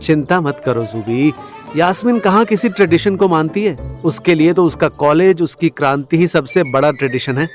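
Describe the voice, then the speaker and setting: A man's voice: fairly high pitch, lively intonation, moderate pace, faint music behind, close to an everyday voice. A man playing an affectionate, soothing husband in an audio drama.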